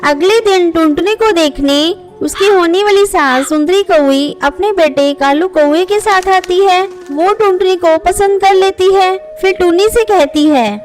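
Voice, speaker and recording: A woman's voice: very high voice, measured pace, few pauses, soft background music. A woman narrating a scripted children's moral story as its storyteller.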